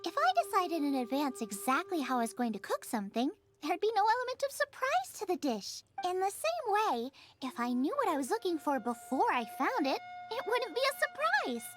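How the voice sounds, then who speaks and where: A super high perky teen girl chef for an anime game, swoopy and excitable, music behind. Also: brisk, hardly any pauses. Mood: breezy, eager. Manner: perky, enthusiastic, playful.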